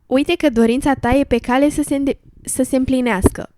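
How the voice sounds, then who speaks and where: A woman's voice: high-pitched, steady tone, warm tone, dry recording, lightly acted. A woman playing an affectionate, cheerful little amphibian, a loyal friend, in an educational radio drama. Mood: tender.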